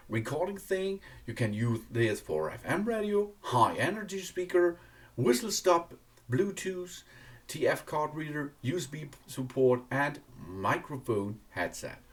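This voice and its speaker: A man who is not a native English speaker gives a casual product review in an enthusiastic but halting way, repeating words. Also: medium pitch. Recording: distant microphone.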